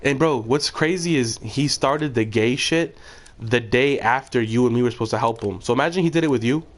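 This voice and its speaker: A man's voice: mid-range voice, fairly quick. A young man streaming, chatting casually and crudely over voice chat while gaming, mocking and trash-talking the other players.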